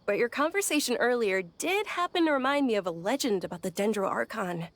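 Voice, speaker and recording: Actress as a gentle, high-voiced young believer. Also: female voice, animated, fairly quick, no room sound, natural voice. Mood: earnest storytelling. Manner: polite, apologetic, earnest. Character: gentle, polite, devout young woman, a faithful believer. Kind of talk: video game voice acting. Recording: music behind the voice.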